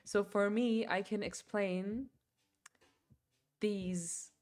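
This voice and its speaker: A young woman talks loosely through a crafting livestream at an ordinary pitch. She rambles and scatters "like" and "um" through her sentences, laughing often between thoughts. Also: fairly even intonation, extremely slow, darkish tone. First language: German. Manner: chatty, rambling, filler-heavy, laughs often. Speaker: livestream host. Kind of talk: casual chat while crocheting.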